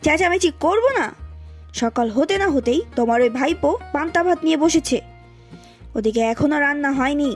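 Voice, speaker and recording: A woman voicing a bossy, sharp-tongued, scheming aunt and mother in a Bengali cartoon. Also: high-pitched, lively intonation, a little fast. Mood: scolding. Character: shrill, scolding, scheming aunt and doting mother. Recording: music behind the voice.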